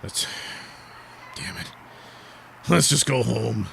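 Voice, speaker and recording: A man playing a brooding, self-important and bungling costumed vigilante with halting delivery in a comedic superhero audio serial. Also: medium pitch, expressive, creaky, background noise, heavily acted. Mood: exasperated.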